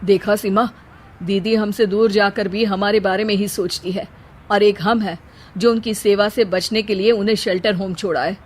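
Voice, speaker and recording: A woman's voice: medium pitch, steady tone, moderate pace, darkish tone, husky, natural voice. A woman voicing an earnest, emotional young man, the younger brother in a cartoon moral story. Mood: remorseful.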